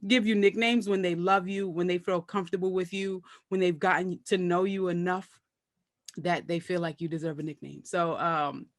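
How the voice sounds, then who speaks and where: A woman's voice: fairly low pitch, steady tone, moderate pace, no echo, studio quality. A woman from Brooklyn with a Brooklyn accent, a comedian guest chatting casually and animatedly, often laughing and slipping into voices when she quotes people. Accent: Brooklyn New York. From Brooklyn, New York. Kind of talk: casual chat.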